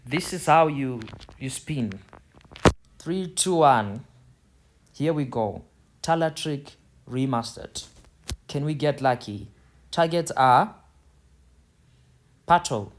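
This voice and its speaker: A man with a Zimbabwean accent and a mid-pitched voice hosts a casual football game walkthrough. He talks in a loose, slightly hesitant way, doubling back on words. The recording has faint noise and clicks behind him. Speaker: gaming video host. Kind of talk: casual tutorial commentary over football game screen.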